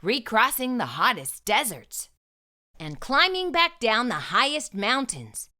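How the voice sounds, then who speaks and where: Woman, children's storybook reader, high-pitched, lively swooping intonation, deliberate emphatic phrasing. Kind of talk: read-aloud storytelling for young children.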